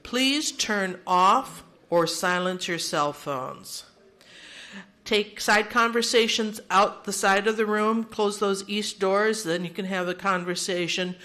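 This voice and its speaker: Bright-voiced older woman chairing a meeting. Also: medium pitch, slow pace. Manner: orderly and practical, with light self-deprecating humor. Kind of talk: meeting announcements and opening prayer. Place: large meeting room. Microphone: handheld microphone.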